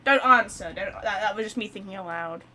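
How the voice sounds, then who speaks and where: A woman streaming a game with casual, expressive, sweary commentary and teasing reactions. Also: medium pitch, a little fast, soft background music.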